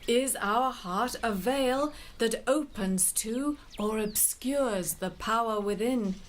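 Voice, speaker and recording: A woman narrating a scripted story in a measured way, addressing the listener directly. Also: a little high, a little hiss or hum.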